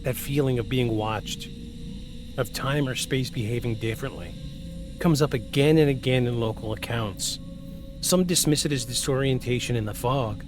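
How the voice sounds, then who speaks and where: A man in his forties hosts a podcast in an ordinary mid-range voice. He moves between scripted narration and loose, self-mocking banter. Clear music plays under him. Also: medium pitch. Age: 40s. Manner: self-deprecating, joking banter. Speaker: podcast host. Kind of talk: scripted narration and casual chat.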